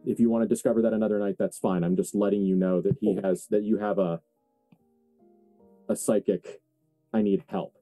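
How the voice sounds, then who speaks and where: Male game master for horror tabletop narration; very mellow, darkened tone, dry close sound, conversational asides. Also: fairly low pitch, level tone, moderate pace, long pauses, no echo, soft background music.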